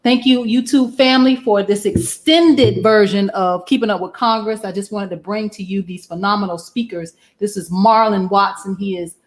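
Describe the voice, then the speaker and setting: A woman hosting a political livestream in casual chat, her voice raspy and hoarse from yelling, talking to her viewers as family. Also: mid-range voice, moderate pace, hardly any pauses. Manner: chatty, warm toward viewers, addresses audience as family. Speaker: political show host. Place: livestream setup in Atlanta.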